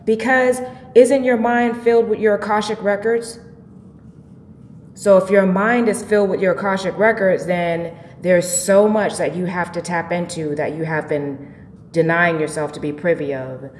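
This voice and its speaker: Woman, low-pitched, spiritual show host, informal and preachy, emphatic delivery, reverberant room sound. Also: fairly even intonation, normal speed. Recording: echoey, reverberant room with faint noise.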